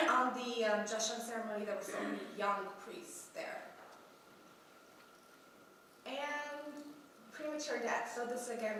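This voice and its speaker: A young woman who is sick and keeps clearing her throat, presenting her research at a conference with many hesitant ums. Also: on the high side, a bit bright, slight background noise. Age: young adult. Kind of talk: research presentation at a youth congress. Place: large conference hall. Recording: echoey hall from far off.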